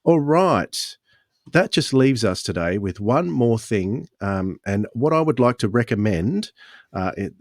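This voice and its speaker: A man's voice: medium pitch, animated, a little slow, dry recording, clean studio sound. A man co-hosting a podcast and interviewing guests in a casual, conversational style.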